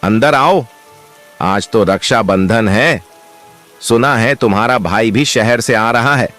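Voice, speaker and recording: Cartoon casting: male actor for a fatherly village sweet seller, friendly and welcoming, slow delivery, music and rain behind. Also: medium pitch, fairly bright tone. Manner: unhurried, welcoming. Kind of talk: Hindi moral-story cartoon dialogue.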